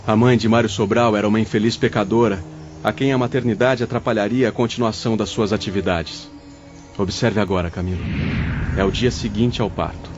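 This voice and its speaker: A man's voice: lowish voice, steady tone, brisk, lightly acted. A man playing a wise spirit mentor in an audio drama, solemn, formal and didactic. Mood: guiding. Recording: dull lo-fi audio, faint music.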